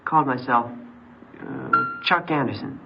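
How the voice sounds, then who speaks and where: An actor playing a troubled, defiant teenage boy in a 1950s radio drama, speaking in a halting, youthful way. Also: mid-range voice, vocal fry, music in the background. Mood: reflective, boyish. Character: troubled, defiant teenage boy confessing to theft and running away. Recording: noisy, muffled old radio broadcast.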